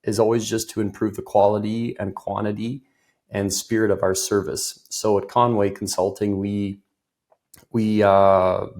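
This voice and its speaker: Man, low-ish voice, a little rough from a cold; loose and talkative interviewee. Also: darkish tone. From Ireland. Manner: loose, filler-heavy, laughs easily. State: recovering from a cold, voice a bit off. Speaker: guest, business consultant. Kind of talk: conversational interview.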